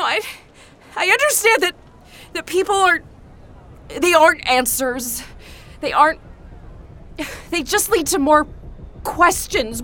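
A very high, bright female voice for a young fantasy adventurer in an audio drama. She is desperate and full of self-doubt, arguing every point with raw, insistent emotion. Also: heavily acted. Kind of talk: audio fiction drama acting.

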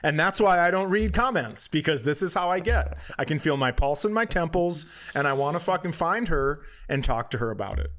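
Man, slightly high voice, comedian podcaster, casual banter, opinionated, profane rants. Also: expressive, fairly quick, hardly any pauses, a bit bright.

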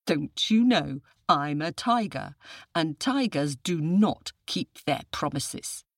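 A sly, hungry storybook tiger, acted out by a woman, boastful and menacing. The delivery swings boldly in pitch, drawing words out slowly with roars and gloating, in a dry, close recording. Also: lively intonation, slightly rough, dry recording, heavily acted. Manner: roaring, gloating, drawn-out words.

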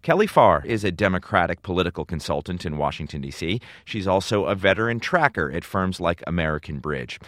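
Man, interview host, low pitch, conversational broadcast delivery, dry sound. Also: animated, fairly quick, hardly any pauses, fairly bright tone, no room sound.

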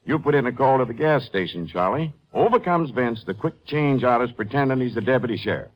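An actor playing a calm, wry county sheriff in a 1950s radio drama. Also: male voice, mid-range voice, brisk, close to an everyday voice. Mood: accusing. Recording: dull, band-limited old radio recording.

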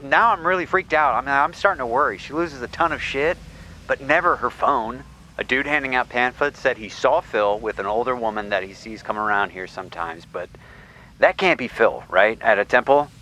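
An actor playing an anxious, caring friend in an audio drama, speaking casually and haltingly with worry. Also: male voice, medium pitch, bright, forceful tone, slight background noise, lightly acted, low-quality recording. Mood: worried. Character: anxious caring male friend searching for someone.

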